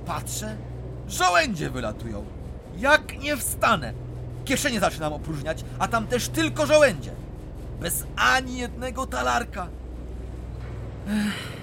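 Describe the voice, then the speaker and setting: Bulandra, a boastful miner. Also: male voice, high-pitched, a little slow, gravelly, pauses often, background noise, heavily acted. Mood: rueful. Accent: Silesian. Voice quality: lively storyteller, swooping theatrical delivery. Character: boastful tall-tale-telling male miner Bulandra. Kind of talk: radio drama acting.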